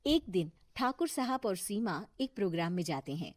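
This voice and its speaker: A woman's voice: mid-range voice, big pitch swings, normal speed, dry recording, studio quality. A woman giving scripted narration as the storyteller of a Hindi cartoon moral tale.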